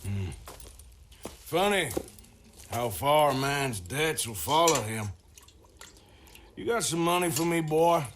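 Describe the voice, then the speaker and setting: Gruff, sardonic outlaw debt collector, Western drawl, laconic but varied in pitch, game cutscene. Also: male voice, animated, takes it slowly, pauses often, noisy background. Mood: menacing. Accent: American Western drawl. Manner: laconic, dry. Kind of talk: dramatic video game cutscene acting.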